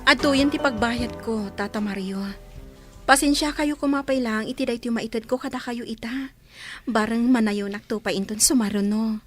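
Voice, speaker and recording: A woman's voice: a little high, steady tone, moderate pace, fairly bright tone, soft background music, close to an everyday voice. A woman with an Ilocano accent playing a grateful, bashful village woman in a radio comedy drama, polite and prone to exclaiming. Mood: grateful, apologetic.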